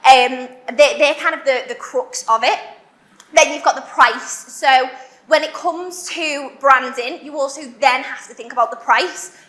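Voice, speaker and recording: Young Liverpool woman, high bright voice, chatty conference speaker, echoey hall. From Liverpool, England. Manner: chatty, self-deprecating, fast with many fillers. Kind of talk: conference talk. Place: large warehouse event hall.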